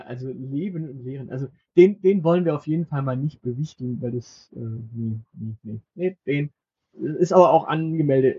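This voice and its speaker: A man co-hosting a casual podcast, talking in a wry, halting way with many fillers, and acting as game master for a short role-play game. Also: mid-range voice, darkish tone, highs cut off.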